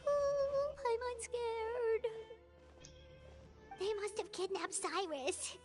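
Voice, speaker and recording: A tiny, childlike game companion with an extremely high, squeaky voice. She is excitable and easily spooked, her pitch bouncing around, with loud music behind her. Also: extremely slow, full character voice. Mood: scared. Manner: squeaky, exclamatory, speaks of herself in third person. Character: small childlike floating companion, excitable, easily scared, curious. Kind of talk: video game voice acting.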